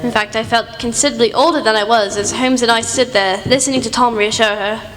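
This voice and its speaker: Woman playing prim lady doctor sidekick, highish voice, wordy and wry, radio drama in an echoey hall. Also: steady tone, fairly quick, fairly bright tone, a little hiss or hum, close to an everyday voice. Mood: wry, genteel. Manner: proper, wordy, exclamatory. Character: genteel female doctor, detective's wry, easily startled sidekick. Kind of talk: radio play acting. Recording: reverberant hall, faint music.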